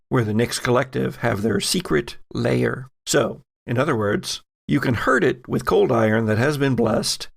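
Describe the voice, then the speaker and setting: A man's voice: mid-range voice, creaky. A man narrating a tabletop horror game as game master, dramatic in his scene-setting with wry asides.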